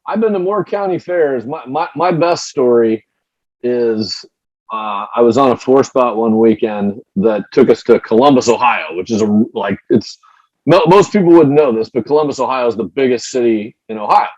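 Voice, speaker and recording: A man's voice: mid-range voice, normal speed, a little mellow, no room sound, dull audio. A man, a former pro wrestler, telling road stories in a casual interview, often repeating his first words. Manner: storytelling, repeats words when starting.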